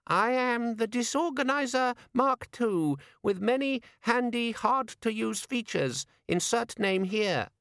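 Squeaky, eager little gadget demon. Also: male voice, animated, takes it slowly, few pauses, a bit bright, no room sound, clean studio sound, heavily acted. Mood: chirpy, hopeful. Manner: over-eager salesman patter turning uneasy. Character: small chirpy eager demon inside a gadget organizer.

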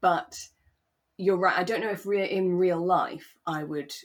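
A woman co-hosting a podcast in a relaxed, conversational way, thinking aloud and seeking agreement. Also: fairly low pitch, a little slow. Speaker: podcast co-host. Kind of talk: relaxed chat discussing a radio drama.

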